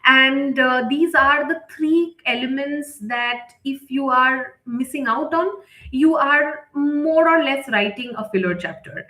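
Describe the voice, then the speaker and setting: Woman instructor, voice on the high side, unscripted live-lesson delivery, emphatic repeats, very roomy echo. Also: a bit bright. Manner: unscripted, emphatic with repetition and check-in tags. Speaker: writing workshop instructor. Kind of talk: live online lesson, explanatory. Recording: echoey, roomy sound.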